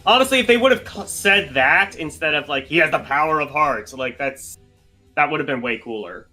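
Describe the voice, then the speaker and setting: A man's voice: a little high, lively intonation, normal speed, pushed and bright, soft background music. A man in casual chat, swearing freely and restarting his sentences often.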